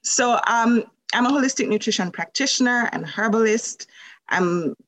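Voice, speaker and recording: A woman's voice: a little high, normal speed, a bit bright, no echo, poor audio. A woman from Jamaica, a holistic nutrition practitioner, telling her story as a podcast guest in a conversational way, with many fillers. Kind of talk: casual interview storytelling.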